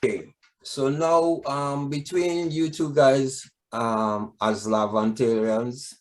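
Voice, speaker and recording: A man's voice: mid-range voice, fairly even intonation, slow pace, poor audio. A man hosting an interview, asking friendly, rambling questions full of fillers. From Trinidad. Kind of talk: casual interview.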